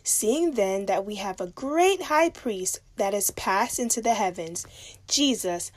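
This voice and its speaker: A woman with a medium-pitched voice reads from a devotional script. The delivery is that of a prepared religious reading. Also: expressive, a little slow, no room sound. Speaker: devotional reader.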